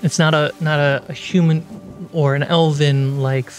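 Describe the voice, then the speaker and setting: A man narrating a tabletop fantasy game as game master, in a measured, suspenseful storytelling manner. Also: fairly high pitch, little pitch movement, soft background music.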